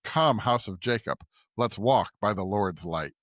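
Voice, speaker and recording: A man's voice: fairly low pitch, lively intonation, unhurried, fairly bright tone, no room sound, clean studio sound. A man co-hosting a podcast, speaking about scripture as a reader and conversational commentator. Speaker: podcast co-host.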